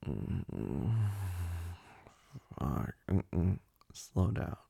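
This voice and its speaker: A man acting as a dominant male lover with a slow, low murmur, thick with gravelly fry. He is teasing and commanding in an intimate roleplay style, his voice very breathy and often close to a whisper. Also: very animated, mellow, dry recording, full character voice. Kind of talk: intimate ASMR audio roleplay for adults.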